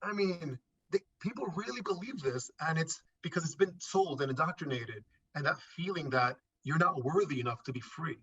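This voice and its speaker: A man's voice: fairly high pitch, animated, normal speed, fairly bright tone, breathy edge, muffled recording. A middle-aged man from Puerto Rico and New York City in a casual interview, speaking emphatically and repeating phrases for stress. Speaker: interview guest.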